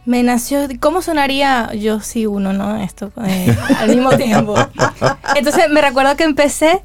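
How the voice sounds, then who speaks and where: A woman with a Venezuelan accent answering as an interview guest, telling her story conversationally with reflective pauses. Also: a little high, lively intonation, dry recording, soft background music. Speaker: interview guest, singer and violinist.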